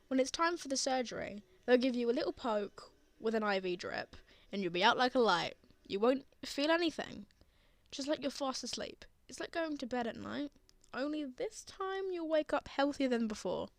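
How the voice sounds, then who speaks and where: A woman playing a gentle, reassuring father who comforts a frightened child in a tender ASMR roleplay. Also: high-pitched, animated, normal speed, no echo. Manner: soothing, tender, earnest.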